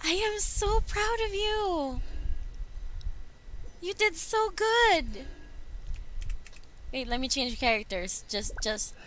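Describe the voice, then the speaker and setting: Female streamer, playful live reactions: very high, highly animated, unhurried, slight background noise. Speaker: game streamer. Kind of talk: casual live reaction commentary.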